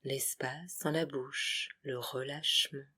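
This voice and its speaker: Casting: meditation guide, mid-pitched voice, breathy and hushed, soothing short phrases for deep relaxation. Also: steady tone, slow pace. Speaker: yoga nidra guide.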